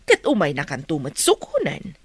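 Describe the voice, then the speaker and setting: A woman's voice: sits low, wildly swooping pitch, normal speed, husky, no room sound, exaggerated voice. A woman playing a scheming, pushy mother in a radio drama, speaking with an Ilocano accent. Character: scheming, pushy mother coaching her grown daughter.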